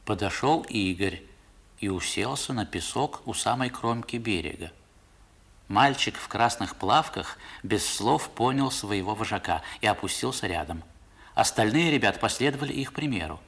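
An actor narrating a 1960s radio play as a man looking back on his boyhood, in a measured, literary, reflective manner. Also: on the low side, animated, a bit bright, husky, heavily acted.

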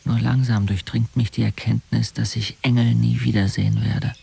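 A man's voice: mid-range voice, level tone, normal speed, gravelly. An actor playing an intense, brooding young man who narrates his inner life tersely in a radio drama. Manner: terse, introspective, literary. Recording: loud music under the voice.

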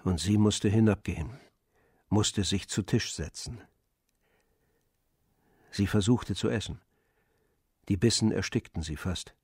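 A man narrating a literary radio drama in a measured, grave manner. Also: on the low side, a little mellow, breathy edge, dry recording, clean studio sound.

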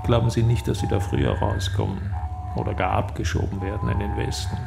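A man playing a smug, menacing East German secret police interrogator in a radio drama, speaking in a deliberate, officious, coldly threatening way. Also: on the low side, sing-song, vocal fry, music in the background, heavily acted. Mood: threatening, coaxing.

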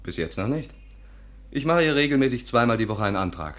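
A man's voice: mid-range voice, lively intonation, a bit bright, close to an everyday voice. An actor playing a dry, witty, teasing male companion with a sardonic manner in a 1950s radio drama. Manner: sardonic, quick-witted.

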